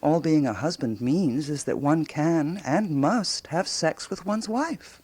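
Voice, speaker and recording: Husky, inquisitive intellectual woman. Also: low voice, lively intonation, a little mellow, full character voice. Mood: probing. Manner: musing, probing, deliberately questioning. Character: Gertrude Stein, inquisitive, self-assured intellectual woman. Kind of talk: radio drama acting.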